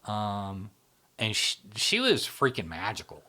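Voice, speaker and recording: Low-voiced man musing in relaxed chat. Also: a little slow. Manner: relaxed, rambling, many fillers and restarts. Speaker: channel founder, interviewee. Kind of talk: casual interview conversation.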